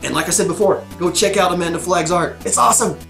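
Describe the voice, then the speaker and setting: A man's voice: high-pitched, quick pace, vocal fry, a bit bright, faint music behind. Scratchy-voiced man rambling casually. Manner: loose and chatty, many fillers and restarts. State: scratchy sore throat, losing voice. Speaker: host reviewing episodes. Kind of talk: casual rambling commentary to camera.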